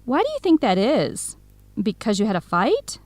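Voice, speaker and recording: A woman's voice: a little high, wildly swooping pitch, moderate pace, darkish tone, no echo. An actress playing a candid, concerned young woman friend, questioning bluntly and expressively in an English-learning radio drama.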